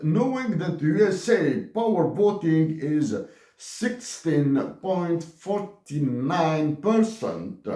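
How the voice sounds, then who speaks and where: Algerian man reading an open letter, slow and deliberate, lively pitch, roomy echo. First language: Tamazight. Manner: slow, emphatic delivery with word restarts. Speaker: commentator. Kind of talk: read-aloud open letter.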